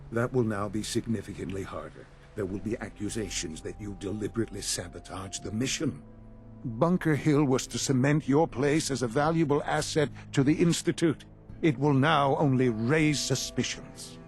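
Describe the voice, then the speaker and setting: An actor playing a calm, calculating older male leader of a science institute in a video game, speaking in a measured, formal way. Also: mid-range voice, faint music behind, lightly acted. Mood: grave, stern. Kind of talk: video game cutscene acting.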